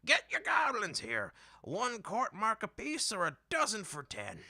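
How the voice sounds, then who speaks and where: A man's voice: high-pitched, wildly swooping pitch, measured pace, pushed and bright, pitched above the performer's own voice, full character voice. A man voicing a crude, brash old woman hawking goblins at a market in a storybook reading.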